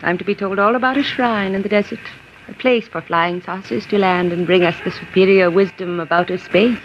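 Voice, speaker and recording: A woman's voice: mid-range voice, fairly even intonation, brisk, background noise, natural voice. An actress playing a polite, eager female secretary who goes undercover, in a 1950s radio drama. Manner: polite, bright, playing along. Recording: dull lo-fi 1950s radio broadcast.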